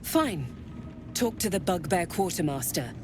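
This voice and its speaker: Low-voiced, seductive cult commander. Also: female voice, sing-song, a little slow, music in the background. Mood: stern, commanding. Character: seductive, menacing female cult commander serving a dark goddess. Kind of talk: video game voice acting.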